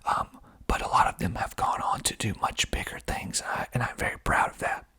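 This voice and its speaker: A man reading aloud in a whispered ASMR style, deliberate and breathy, with wry, deadpan asides. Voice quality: breathy, mostly unvoiced whisper. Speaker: filmmaker and actor hosting an ASMR video.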